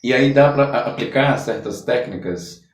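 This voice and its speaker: A man's voice: medium pitch, normal speed. A man with a Brazilian Portuguese accent hosting a podcast, interviewing in a conversational way. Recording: echoey room, distant mic.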